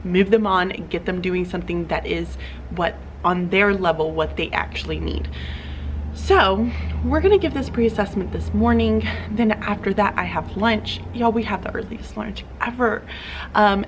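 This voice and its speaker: A woman's voice: medium pitch, normal speed, slight background noise, low-quality recording. Chatty woman teacher vlogging. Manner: chatty, upbeat, lots of fillers. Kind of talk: casual classroom vlog. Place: classroom.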